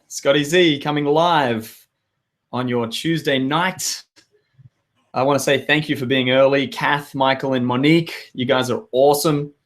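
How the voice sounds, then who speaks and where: An Australian man in his thirties with a hoarse voice, hosting a live web show and chatting with viewers in an upbeat, rambling way. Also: medium pitch. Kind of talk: live webinar chat to viewers.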